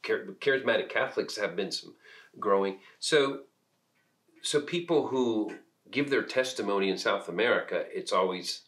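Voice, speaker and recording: A middle-aged man from Peru who is a minister, talking in an unscripted discussion in a reflective, halting way as he searches for words. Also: lowish voice, animated, a bit bright.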